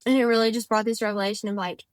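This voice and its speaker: A woman's voice: a little high, level tone, rapid-fire, no echo, clean studio sound. A woman in her twenties, a podcast guest and author, talking in a chatty, rambling way with lots of "like" fillers and self-corrections. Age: 20s. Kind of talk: casual conversational interview.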